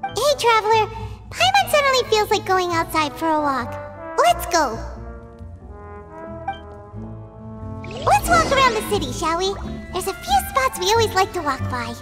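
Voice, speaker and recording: A woman voicing a tiny, naive fairy companion in a video game, squeaky, childlike and cutesy. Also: huge pitch swings, moderate pace, heavily acted. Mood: eager. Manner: cutesy, refers to herself by name. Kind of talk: English video game dialogue acting. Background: game music.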